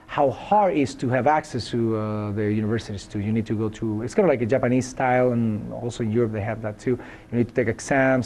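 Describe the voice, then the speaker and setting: A man in his thirties from Chile, speaking English with a Chilean Spanish accent as a university professor answering interview questions. He talks in a thoughtful, halting way, with many fillers and restarts. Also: medium pitch, mellow.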